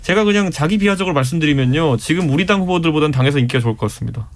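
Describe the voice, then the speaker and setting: A man in his thirties making a measured, earnest argument as a panelist in a radio studio debate. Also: on the high side, animated, a little hiss or hum. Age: 30s. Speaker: political panelist.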